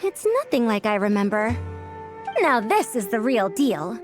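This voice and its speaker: A woman's voice: fairly high pitch, animated, a little slow, over music. A woman voicing a proud, discerning scholar with a formal, appraising manner in a video game. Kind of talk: video game cutscene acting.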